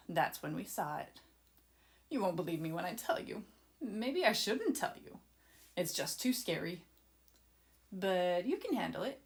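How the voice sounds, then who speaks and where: A woman voicing an excited, adventurous young boy who eagerly and dramatically narrates a children's storybook to his listeners. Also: mid-range voice. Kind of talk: children's storybook read-aloud.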